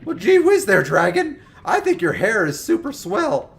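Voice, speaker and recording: A man putting on the squeaky, cracking voice of an eager, awkward middle-school boy. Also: wildly swooping pitch, normal speed, creaky, exaggerated voice. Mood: gushing. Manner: gee-whiz, wholesome and earnest.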